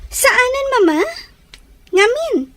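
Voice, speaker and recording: An actress playing a possessive, guarded young wife in a serial audio drama. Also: female voice, very high-pitched, sing-song, slow pace, no echo, full character voice. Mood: suspicious, defensive.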